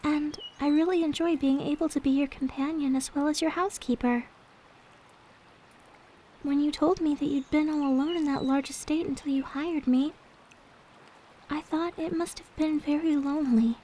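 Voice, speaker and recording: A woman playing a gentle, devoted young maid companion in an ASMR roleplay, speaking in a soft, polite, tender way. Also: sits high, fairly even intonation, normal speed, slight background noise, natural voice. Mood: wistful, tender. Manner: polite, tender, demure storytelling. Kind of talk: ASMR roleplay, soft intimate character acting.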